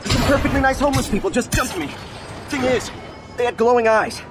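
Quick, high-voiced young American superhero. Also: male voice. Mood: eager, friendly. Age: young adult. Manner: casual, hesitant, wisecracking. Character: earnest young superhero scientist, friendly and awkwardly joking. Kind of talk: video game dialogue. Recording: music behind.

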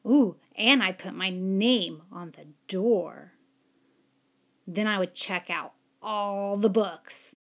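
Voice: mid-range voice, slow, fairly bright tone. A woman voicing a mischievous storybook pigeon who pleads and wheedles with dramatic outbursts. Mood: eager scheming. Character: pleading, mischievous young male pigeon scheming to be librarian.

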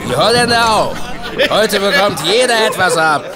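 An actor playing a boastful, dissolute young drinker at an inn in an audio drama, loud and cocky. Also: male voice, high-pitched, expressive, moderate pace. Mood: rowdy. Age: young adult. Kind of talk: German audio drama acting. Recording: busy pub crowd and music.

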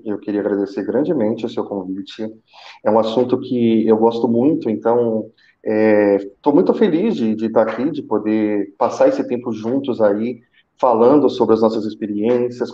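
A man's voice: on the low side, normal speed, warm tone. A man in his thirties, a senior call center manager, telling his career story on a live video call through a headset, earnest and often restarting with repeated words. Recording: muffled roomy video call audio.

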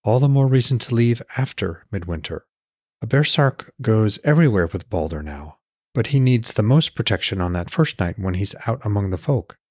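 A man's voice: on the low side, lively intonation, normal speed, a little mellow, no room sound, clean studio sound. A man voicing a concerned, frank goddess wife, questioning and pointed, in an audiobook.

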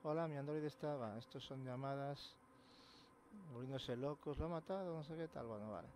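A man's voice: medium pitch, normal speed, a little hiss or hum. A man with a Castilian accent from Spain gives casual, sweary horror game commentary, muttering to himself. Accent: Castilian Spanish from Spain. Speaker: gamer, host of a let's play series. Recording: loud game ambience throughout. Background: loud horror game ambience.

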